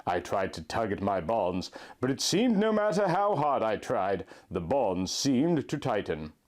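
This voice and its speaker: Animated man reading a dramatic story. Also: on the low side, unhurried. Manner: theatrical, melodramatic. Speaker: story reader, narrator. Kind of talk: dramatic reading of first-person fan fiction.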